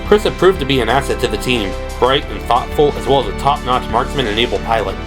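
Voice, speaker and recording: A man narrates an audio drama in a medium-pitched voice. The delivery is scripted, dramatic prose reading, with music clearly playing behind him. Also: lively intonation, fast talker. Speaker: narrator and performer.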